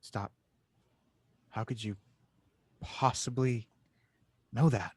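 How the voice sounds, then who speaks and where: A man's voice: mid-range voice, steady tone, slow, darkish tone, breathy edge, no echo. An earnest, troubled adventurer played by a man in an audio drama. He speaks seriously and with some guardedness. The sound is very dry. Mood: shaken. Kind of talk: fan audio drama table read.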